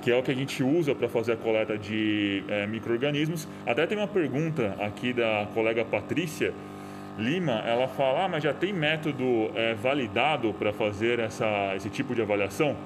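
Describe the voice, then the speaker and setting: A man's voice: mid-range voice, moderate pace, music in the background. Brazilian man hosting an informal demonstration. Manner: conversational, checks with colleague. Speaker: host, professor. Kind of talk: informal live video presentation.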